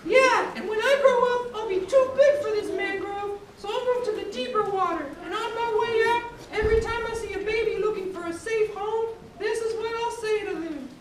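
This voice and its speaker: A woman's voice: extremely high pitch, normal speed, few pauses. A woman voicing a friendly, timid baby bat ray with a childlike, eager manner in a children's puppet show. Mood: earnest. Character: friendly, timid six-month-old baby bat ray named Rodrigo. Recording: very echoey, distant, noisy live recording.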